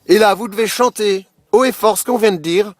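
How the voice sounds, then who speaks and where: A man's voice: sits high, a little fast, no echo. A man prank-calling as a radio game show host, with boisterous, pushy, improvised patter. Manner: boisterous, pushy, hyped-up exhortations.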